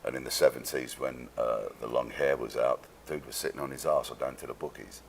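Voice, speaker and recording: A man from London with a London English accent tells stories casually as an interview guest, rambling and halting in a streetwise, sweary way. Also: rumbling low, steady tone, creaky, slight background noise. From London, England.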